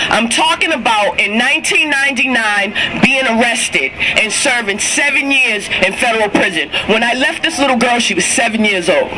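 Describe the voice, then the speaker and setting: A woman's voice: fairly high pitch, low-quality recording. A middle-aged woman from Boston with a Boston accent, giving emphatic, raw public testimony at a speak-out. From Boston, USA. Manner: emphatic, repetitive and raw, rising to near-shouting. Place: public speak-out at a state house.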